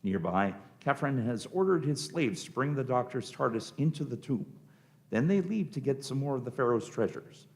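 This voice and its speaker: A man's voice: medium pitch, expressive, moderate pace, a little mellow. A man reading scripted narration for a live radio play.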